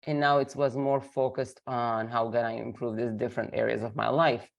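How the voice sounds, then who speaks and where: A woman's voice: rumbling low, little pitch movement, moderate pace, creaky, lo-fi sound. A woman from Lebanon, a guest on a video call interview, talking casually and hesitantly with many fillers while sick. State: sick, voice affected.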